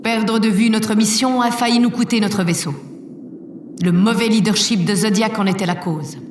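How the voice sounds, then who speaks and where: A woman's voice: medium pitch, fairly even intonation, moderate pace, close to an everyday voice. An actress playing a solemn, self-assured female president giving a formal, oratorical speech in an audio drama. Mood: solemn, stately. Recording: echoey hall PA, distant, faint noise.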